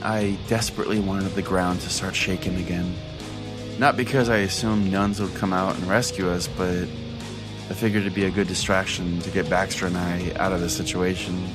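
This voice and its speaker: A man playing a self-important, foul-mouthed conspiracy pastor, rambling earnestly as if hosting his own comedy podcast. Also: deep voice. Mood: rambling, bewildered. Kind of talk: mock podcast monologue, comedic storytelling.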